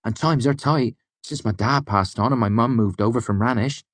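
A man voicing an eager sixteen-year-old smith's apprentice, earnest and quick to bristle when called a boy. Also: medium pitch, no room sound, pitched above the performer's own voice, close to an everyday voice. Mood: excited. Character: teenage male smith's apprentice, eager, defensive.

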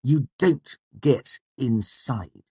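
Man playing a haughty young gentleman, pitched up. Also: husky, no room sound. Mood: condescending. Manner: curt, condescending, slow emphasis. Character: haughty, scornful rich young gentleman clerk.

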